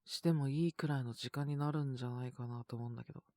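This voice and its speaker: A man with a sore, scratchy throat and a cough, chatting casually and intimately in soft, hesitant murmurs as if to someone beside him. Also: mid-range voice, steady tone, rapid-fire, no echo. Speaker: voice actor making situation-voice videos. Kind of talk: intimate casual chat to an unheard partner.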